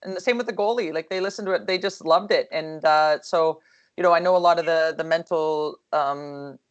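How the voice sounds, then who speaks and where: A woman with a low, calm voice talks about coaching over a video call. Her speech is relaxed and rambling, full of fillers and self-corrections, and her tone stays soothing. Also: a little fast, lo-fi sound. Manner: chatty, lots of fillers and restarts. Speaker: hockey coach. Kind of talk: casual video call discussion.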